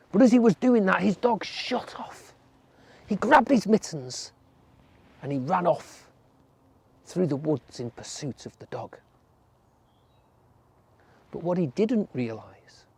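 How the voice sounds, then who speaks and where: A storyteller narrating a folk tale for children in a gentle way, dropping to a whisper or drawing words out for effect. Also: medium pitch, gravelly, slightly rough.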